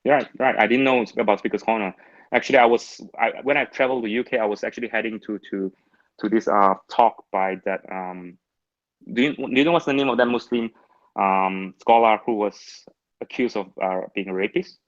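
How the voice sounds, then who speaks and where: A man from Malaysia, speaking English as a second language, answers as a guest in casual discussion, blunt and halting with restarts. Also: on the low side, no echo, lo-fi sound. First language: not English.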